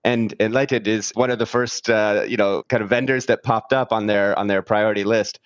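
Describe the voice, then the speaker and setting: Low-voiced man hosting a podcast. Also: steady tone, a little fast, dry recording, dull audio. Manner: casual, many fillers like uh and you know. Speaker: podcast host. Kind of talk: interview podcast.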